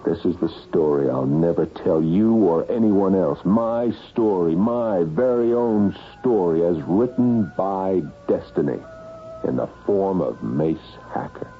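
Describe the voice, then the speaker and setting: An actor in a radio mystery playing a meek, put-upon office worker and husband, halting and mild, who confesses to murder. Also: male voice, low-pitched, expressive, faint music behind. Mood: bitter, insistent. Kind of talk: radio mystery acting with first-person narration. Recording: tinny, hissy old radio broadcast recording.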